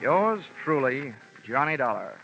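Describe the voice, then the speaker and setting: An actor playing a wry, clipped American insurance investigator in a 1940s radio detective drama. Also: male voice, mid-range voice, very animated, measured pace, gravelly, music in the background. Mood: crisp sign-off. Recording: muffled old radio recording.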